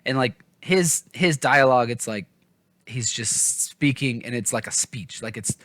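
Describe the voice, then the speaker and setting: A man talks casually to his viewers in a medium-pitched voice, recorded dry with very little room sound. His delivery is informal and hesitant, wandering through his opinions and readily playing down his own expertise. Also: measured pace, fairly bright tone, dry recording. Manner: informal, hesitant, self-deprecating, rambling. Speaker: book review vlogger. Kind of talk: casual chatty book discussion to viewers.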